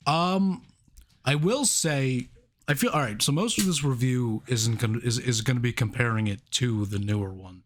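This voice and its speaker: A man's voice: mid-range voice, normal speed, slight background noise. A man co-hosting a casual movie review podcast, rambling and laughing often, swearing casually. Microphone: own camera setup.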